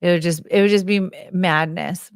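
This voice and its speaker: A woman's voice: fairly low pitch, fairly even intonation, brisk, no room sound, studio quality. An American woman hosting a live stream, chatting in a rambling, unfiltered way with casual swearing. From United States.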